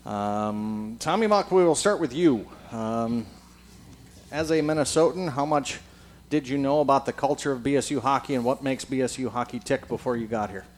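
A man's voice: mid-range voice, normal speed. A man hosting and interviewing on stage at a live event. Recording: live event, noisy room.

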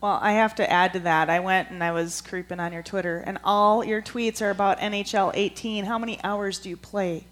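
A woman's voice: mid-range voice, fairly even intonation, brisk, hardly any pauses. A woman co-hosting a live event, interviewing guests in a casual chatty way. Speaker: co-host and interviewer.

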